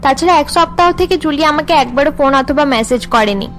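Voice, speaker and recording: A woman's voice: sits high, lively intonation, quick pace, a bit bright, soft background music. A woman voicing a worried young college student in a cartoon drama, earnest and downcast. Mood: earnest, concerned.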